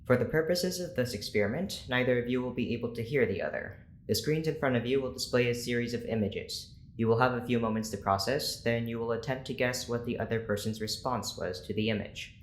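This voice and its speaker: A man's voice: medium pitch, a little fast, few pauses, close to an everyday voice. A man playing a stern, weary scientist in an audio drama, speaking in a formal, clipped, exasperated way. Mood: clinical, controlled. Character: stern, weary male scientist running an experiment. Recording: echoey room with faint noise.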